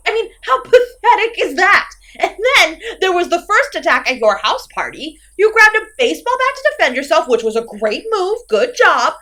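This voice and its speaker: Female slasher villain played by an actress, horror-comedy audio drama: high-pitched, very animated, sarcastic and scolding, big theatrical delivery. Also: exaggerated voice. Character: theatrical, exasperated, easily ranting masked slasher killer woman.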